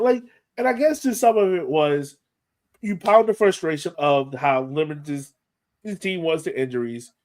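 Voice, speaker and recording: An American man with a fairly high voice that moves up and down a lot. He talks like a sports podcast co-host in casual chat, animated and self-deprecating, working out his thoughts aloud as he goes.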